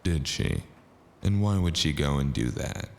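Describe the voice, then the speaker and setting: An actor playing a cynical, deadpan mercenary in a noir audio drama, with a deep gravelly voice. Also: male voice, measured pace, exaggerated voice. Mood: coy, dry. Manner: sardonic, deadpan. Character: cynical, sarcastic mercenary man narrating his own noir tale.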